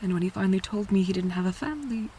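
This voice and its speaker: A woman acting in an audio drama as a tender, anguished countess and adoptive mother, speaking in a halting, hushed way. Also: medium pitch, a little hiss or hum, natural voice. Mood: tender, wistful.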